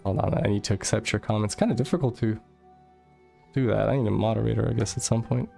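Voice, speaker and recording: Young man, gravelly low voice, loose chatty streamer talk, faint game music behind. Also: steady tone, fast talker, warm tone. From Moldova. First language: Russian. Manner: chatty, loose, swears casually, reads chat aloud. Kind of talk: casual live-stream chat while gaming.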